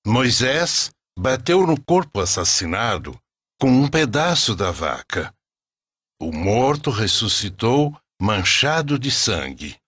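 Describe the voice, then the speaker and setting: A Brazilian man narrating a religious cartoon story in a solemn, measured storytelling manner. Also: medium pitch, sing-song, fairly bright tone, slightly rough, no room sound, studio quality.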